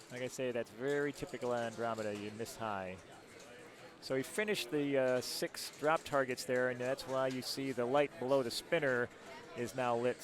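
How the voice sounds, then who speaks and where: A man from Rochester giving live pinball tournament commentary, calmly explaining the rules and scoring of each shot. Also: mid-range voice. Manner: explanatory, rule-focused play-by-play. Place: tournament hall. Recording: noisy crowd in the background.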